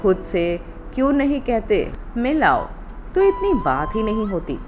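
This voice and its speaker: A woman's voice: fairly high pitch, huge pitch swings, unhurried. A woman voicing a young married daughter-in-law in an audio story, hurt and indignant, firmly standing up for herself, over music. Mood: tearful protest. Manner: firm, indignant, emotional protesting.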